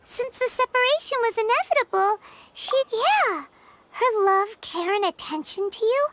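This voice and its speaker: A woman voicing a small, childlike, excitable fairy companion in an animated game, caring and earnest. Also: very high-pitched, moderate pace, a little hiss or hum. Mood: earnest, reassuring. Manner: childlike, exclaiming. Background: game music.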